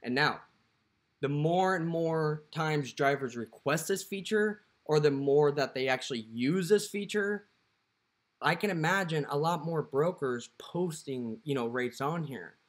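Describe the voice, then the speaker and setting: A man's voice: fairly high pitch, measured pace. A young man, a dispatcher, talking casually to the camera through an app walkthrough, with lots of "you know" fillers, recorded close up in a dim indoor room.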